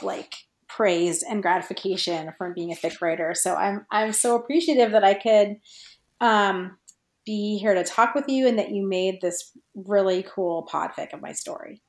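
A woman's voice: mid-range voice, fairly even intonation, normal speed. A woman chatting casually as a podcast guest, informal and giggly, thinking aloud as she goes. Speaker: podcast guest, fan fiction writer. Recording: roomy, echoey sound.

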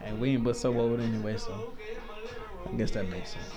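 A young man hosts a podcast in an easygoing, jokey way, laughing often and restarting his sentences as he goes. His voice sits at an ordinary middle pitch. Music plays clearly behind him. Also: a little fast. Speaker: podcast host. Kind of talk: casual chat.

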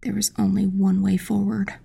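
A woman playing a determined witch who narrates a dark fantasy audiobook in an intense, dramatic, breathy voice with heavy creak. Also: medium pitch, little pitch movement, normal speed, heavily acted. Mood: tense, weary. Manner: intense, hushed, dramatic first-person narration. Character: determined young witch telling her own battle.

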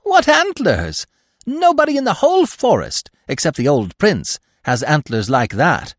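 A man voicing an excitable, gossipy little male squirrel with a shrill, eager voice. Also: moderate pace, no room sound, clean studio sound. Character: excitable chattering male squirrel, small and nosy.

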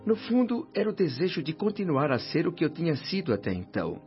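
A man's voice: on the high side, big pitch swings, fast. Solemn, humble man, dull recording. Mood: humble, earnest. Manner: solemn, formal, fervent. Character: humble, earnest former doctor, newly arrived spirit, narrating his afterlife. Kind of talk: radio drama acting and first-person narration. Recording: dull lo-fi radio drama with music.

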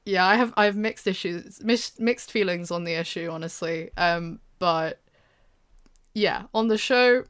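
Smooth-voiced young British woman, chatty. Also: fairly low pitch, unhurried. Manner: hesitant, many fillers and restarts. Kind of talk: casual chat.

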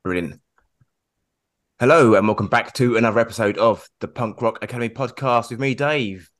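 A man's voice: medium pitch, a little fast, a bit bright. A man hosting a podcast, introducing the show and chatting in an upbeat, casual way. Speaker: podcast host.

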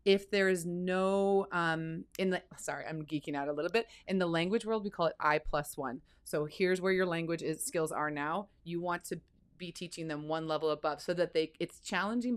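Chatty woman with a lowish voice. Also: smooth. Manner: enthusiastic, chatty, teacherly explaining. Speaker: university center director, podcast guest. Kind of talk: conversational interview.

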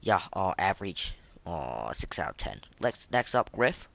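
A man hosting a casual reaction podcast, rating things in an informal, rambling way with a lot of halting fillers. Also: low-pitched, big pitch swings, gravelly, fairly bright tone, dry recording. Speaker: podcast host. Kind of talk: casual reaction commentary, rating game voice lines.

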